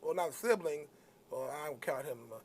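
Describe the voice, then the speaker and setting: A man's voice: a little high, fairly quick, crowd noise. A man from New York City chatting casually as a co-host, streetwise and repetitive in his phrasing. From New York City, born in Brooklyn, moved to Queens. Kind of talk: casual chat.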